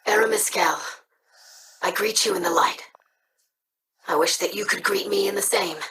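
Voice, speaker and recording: An earnest young alien scribe speaks formally in a bright voice, as a woman acts her out. The line sounds set back from the mic with faint noise. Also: mid-range voice, steady tone, moderate pace, far from the mic, natural voice.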